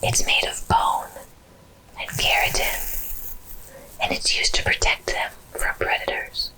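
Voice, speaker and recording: A woman host narrating educational animal facts in an ASMR style, whispering slowly and deliberately. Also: sharp tone, low-quality recording. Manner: whispers slowly and deliberately through most of the talk.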